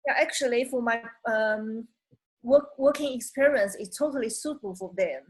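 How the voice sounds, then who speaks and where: A woman from China with a Chinese accent, speaking halting, non-native English full of restarts as a job applicant on a video call. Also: a little high, slightly rough, poor audio. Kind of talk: conversational English practice.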